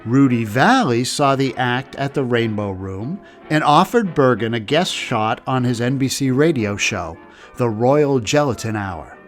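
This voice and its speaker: A man giving steady, measured scripted narration for a documentary. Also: mid-range voice, faint music behind.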